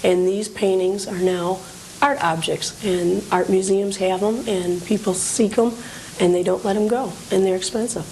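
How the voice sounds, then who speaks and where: A woman with a creaky, fry-edged voice talks as an interview guest about the things she collects. She is informative and matter-of-fact, in a conversational register. The recording is an old cable TV tape with faint hiss. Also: mid-range voice, fairly even intonation, normal speed, warm tone, low-quality recording. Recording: hissy 1980s cable TV recording.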